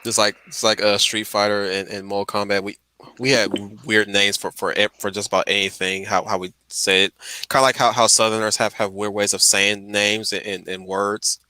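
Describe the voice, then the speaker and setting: A man's voice: fairly low pitch, little pitch movement, moderate pace, pushed and bright, no room sound. A man chatting casually on a stream in an emphatic, slangy way, often repeating his phrases. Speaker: stream host. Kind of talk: casual chat about films.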